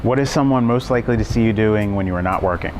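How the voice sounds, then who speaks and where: A man's voice: on the low side, fast talker, darkish tone, slight background noise. A man asking short, plain interview questions from off-mic.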